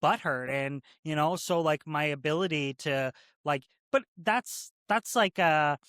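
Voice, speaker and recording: Man in his thirties from Canada, corrections officer guest, slightly high voice, rambling and filler-heavy, dry sound. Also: unhurried, fairly bright tone, clean tone, no echo. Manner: rambling, many "like" and "right?" fillers. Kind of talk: casual interview conversation.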